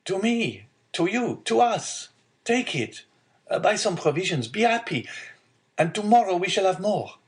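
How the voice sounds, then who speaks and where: A man's voice: high voice, sing-song, measured pace, pitched above the performer's own voice. An eager young seafarer and loving son from a classic storybook, acted out by a man reading aloud. His voice is lifted well above the narration, with an earnest, urgent delivery full of exclamation. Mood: eager, generous.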